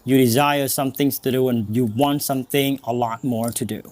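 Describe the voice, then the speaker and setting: A man's voice: mid-range voice, fairly even intonation, normal speed, darkish tone. Earnest Cambodian-accented man. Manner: earnest, encouraging, repeats phrases for emphasis. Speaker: English teacher and podcast host. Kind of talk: motivational talk to learners.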